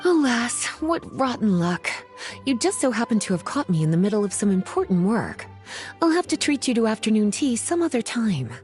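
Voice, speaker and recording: A scholarly witch librarian for a video game. She is coy and playful, speaking in polished, unhurried phrases that swing expressively in pitch. Soft music plays behind her. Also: very animated. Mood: coyly apologetic. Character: playful, languid scholarly witch librarian, adult woman, teasing. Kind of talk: video game voice acting, scripted dialogue.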